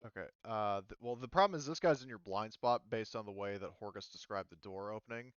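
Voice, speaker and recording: A man running a tabletop roleplaying game as game master, casual and halting as he thinks aloud. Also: on the low side, smooth, dry recording, dull audio.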